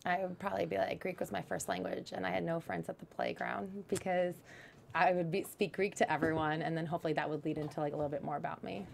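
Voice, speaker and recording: A woman's voice: on the low side, fairly even intonation, fast talker, gravelly, a little hiss or hum. A woman co-hosting a reality show recap, chatting casually and laughing often. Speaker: co-host. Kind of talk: casual chat.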